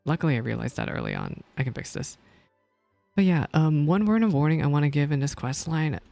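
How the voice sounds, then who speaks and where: A man's voice: a little high, wildly swooping pitch, brisk, vocal fry, no room sound. A man streaming a game and giving casual, rambling reaction commentary. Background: soft video game music.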